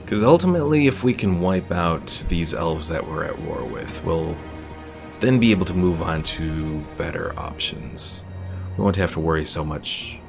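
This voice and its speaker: A male gaming streamer with a deep voice full of creak. He talks casually through his play and reads viewers' messages aloud, with game music behind him. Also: lively intonation, moderate pace. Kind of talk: casual live game commentary, reading out chat.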